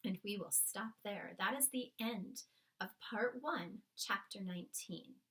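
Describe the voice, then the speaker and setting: A woman's voice: mid-range voice, measured pace, a bit bright. A woman reading a children's book aloud as narrator, with dramatic, emphatic storytelling. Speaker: storybook reader.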